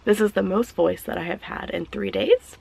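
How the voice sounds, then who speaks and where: A woman vlogging casually while sick, her voice raspy and hoarse, tired and short of breath, with small laughs between sentences. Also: mid-range voice, normal speed, darkish tone. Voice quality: raspy, weak voice. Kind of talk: casual vlog chat.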